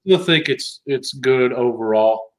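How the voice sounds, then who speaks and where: A man's voice: medium pitch, measured pace, poor audio. A man chatting casually as a panelist in a live chat.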